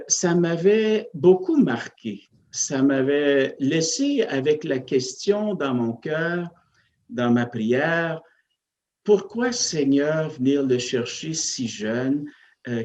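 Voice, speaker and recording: A male parish priest, recounting his life in a hesitant, reflective way, with many pauses and fillers. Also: a little high, animated, darkish tone, lo-fi sound. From Northern Ontario, Canada. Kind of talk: interview, personal storytelling.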